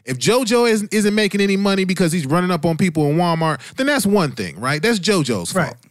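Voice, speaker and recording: Male panelist, fairly high voice with lively swings, colloquial and emphatic in casual debate. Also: brisk, dry recording, clean studio sound. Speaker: talk show panelist. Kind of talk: casual opinionated discussion.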